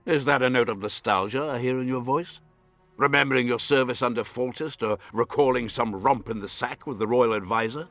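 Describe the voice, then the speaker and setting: A man's voice: medium pitch, brisk, fairly bright tone, soft background music, natural voice. A man playing a haughty, scornful nobleman in a fantasy video game, with imperious, formal diction. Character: haughty scornful nobleman, baron vying for a throne. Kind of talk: dramatic fantasy game acting.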